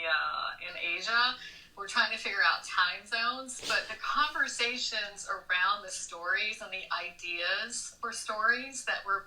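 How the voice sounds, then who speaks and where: A woman with a strikingly bright, forward voice talks as an interview guest. Her pitch sits in the middle for a woman, and she explains things in a chatty, conversational way. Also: low-quality recording. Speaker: travel writer guest on a show.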